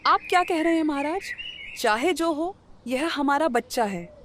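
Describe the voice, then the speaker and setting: A woman's voice: high-pitched, animated, unhurried, a bit bright, a little hiss or hum. A woman playing a devoted, protective queen and mother in a cartoon story, pleading emotionally. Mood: desperate, pleading. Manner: imploring, emotional. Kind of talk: animated moral story acting.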